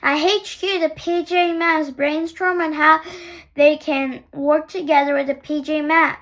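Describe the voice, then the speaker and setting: A very high, bright young female voice reads a picture book aloud at an unhurried pace. The delivery is sing-song and halting, as a storyteller speaking to small children. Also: flat delivery.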